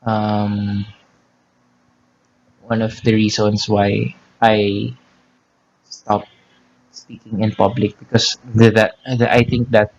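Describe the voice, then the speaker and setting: A man with a Filipino accent talks in a loose, rambling way, often restarting and filling gaps. His voice sits low. The recording sounds dull and lo-fi. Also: slight background noise. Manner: rambling with many restarts and fillers. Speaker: podcast co-host; teacher. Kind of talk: casual chat.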